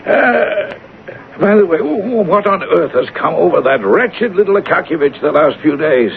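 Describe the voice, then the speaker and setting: A man's voice: on the high side, expressive, moderate pace, vocal fry. An actor playing a fussy, stingy, pompous male superior in a radio drama, stammering and dithering with disdain. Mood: puzzled, disdainful. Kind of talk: 1970s radio drama acting. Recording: tinny, noisy old radio recording.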